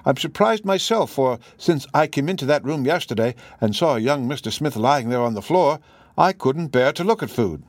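A man's voice: mid-range voice, lively intonation, normal speed, no room sound, voice pushed up, lightly acted. A working-class housekeeper woman acted by a male reader chatters in a storybook reading. She is plain-spoken, folksy and deferential, with a dry, close sound. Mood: chatty, surprised. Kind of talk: audiobook character voice.

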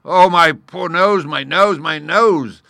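A man voicing a proud, quick-tempered lion king in a bombastic, dramatic storybook manner. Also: on the high side, vocal fry, bright, forceful tone, no echo, studio quality, pitched above the performer's own voice, exaggerated voice. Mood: agonized pleading. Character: proud, blustering, furious great lion king. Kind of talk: animated children's storybook voice.

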